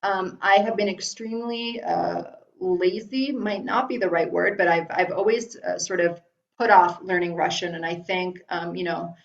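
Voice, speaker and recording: A middle-aged woman from Iran talking casually in a live webinar from home, answering viewer questions with many ums and restarts. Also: on the low side. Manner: chatty, frequent ums and restarts. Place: room at home. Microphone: video call. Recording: roomy, dull lo-fi video call audio.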